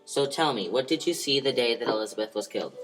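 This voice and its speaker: A man's voice: mid-range voice, lively intonation, fast talker, over music, lightly acted, rough recording. A man playing an earnest police detective in an amateur old-time radio mystery. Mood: questioning. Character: male police detective, earnest partner investigating a murder.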